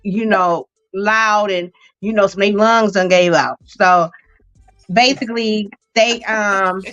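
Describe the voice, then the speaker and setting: A woman's voice: medium pitch, fairly even intonation, slow pace, fairly bright tone, faint music behind. A woman co-hosting a podcast, talking at length in a casual, rambling way. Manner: rambling, lots of fillers and restarts. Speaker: co-host. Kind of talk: casual interview chat. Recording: dull lo-fi audio.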